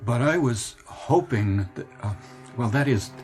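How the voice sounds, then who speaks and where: A man's voice: mid-range voice, animated, measured pace, darkish tone, faint music behind, lightly acted. An actor in a radio drama playing a polite, harried ad executive in his thirties, hesitant and wistful. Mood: hesitant, weary. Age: 30s. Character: weary, polite, harried 36-year-old male ad executive.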